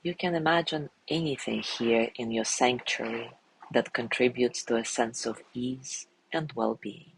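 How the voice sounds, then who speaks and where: A man guiding a sleep meditation in a gentle, soothing way, softly spoken and unhurried, with long pauses between phrases. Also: medium pitch, steady tone, gravelly, a little hiss or hum, rough recording. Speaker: meditation guide.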